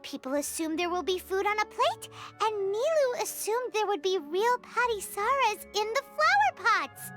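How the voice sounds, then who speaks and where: A tiny, very high-pitched fairy sidekick for a video game. The delivery swings wildly up and down, chatty, blunt and full of exclamation. Music plays clearly behind the voice. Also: female voice, moderate pace, hardly any pauses. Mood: amazed, explaining. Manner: exclamatory, expressive. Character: small, excitable childlike female fairy companion, chatty and blunt.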